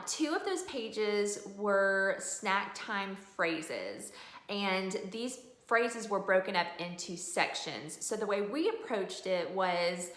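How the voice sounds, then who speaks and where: Female reviewer, mid-pitched voice, earnest and conversational explainer delivery, recorded in a reverberant room. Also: a bit bright. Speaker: homeschooling mom reviewing a curriculum. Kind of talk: casual explanatory product review. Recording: roomy, echoey room sound.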